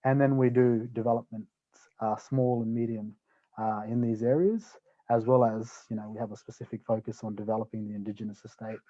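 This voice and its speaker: A man from North Queensland with an Australian accent, talking as a guest in a live webinar, relaxed and full of "you know" fillers. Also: on the low side, brisk, lo-fi sound. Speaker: guest, property developer.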